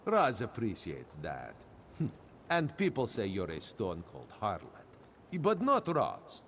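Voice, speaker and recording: A man's voice: mid-range voice, slight background noise. An actor playing a sly male cat-folk spy in a video game, who speaks of himself in the third person with a playful yet menacing manner. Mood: worried, vengeful.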